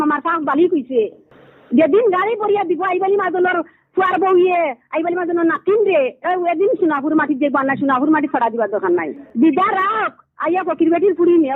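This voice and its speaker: A middle-aged woman with a Sylheti accent, venting in an aggrieved, repetitive way during a heated family phone conversation. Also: high-pitched. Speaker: caller. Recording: tinny, phone-like call recording.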